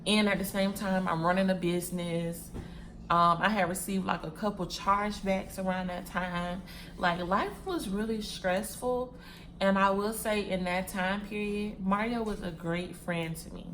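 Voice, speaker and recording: A woman in her mid-twenties talks casually straight to her viewers, looping back over phrases as she goes. Her pitch sits in the middle range, and faint noise hums behind her at home. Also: steady tone, measured pace, a bit bright. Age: 20s. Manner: chatty, repeats phrases, addresses viewers as y'all and sis; packing wigs while talking. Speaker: vlogger.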